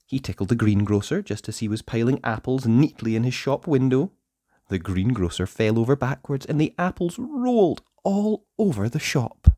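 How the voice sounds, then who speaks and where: Very animated man reading a children's story. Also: medium pitch, no echo, clean studio sound. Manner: playful, dramatic pauses and emphasis. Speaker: storybook narrator. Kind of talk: animated children's read-aloud narration.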